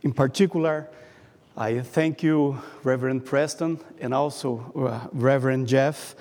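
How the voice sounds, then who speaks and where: Brazilian guy preaching, slow careful delivery, big echoey church hall. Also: mid-range voice, steady tone, a little mellow. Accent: Brazilian Portuguese. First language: Portuguese. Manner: careful, halting delivery, reading from notes. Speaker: guest preacher. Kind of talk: sermon. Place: church sanctuary. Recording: long reverb of a church hall.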